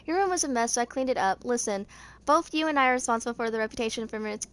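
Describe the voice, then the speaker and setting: An earnest older brother from a game's story, as a woman reads him. His voice is on the high side. He lectures and admonishes like the responsible heir of a wealthy house. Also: rapid-fire, a bit bright, close to an everyday voice. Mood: stern lecturing.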